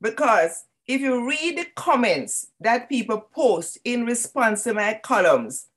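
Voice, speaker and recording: A woman's voice: a little high, sing-song, measured pace. An older Jamaican woman moderating a panel over a video call, cutting in with emphatic points. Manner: interjecting, emphatic. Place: home room with bookshelves.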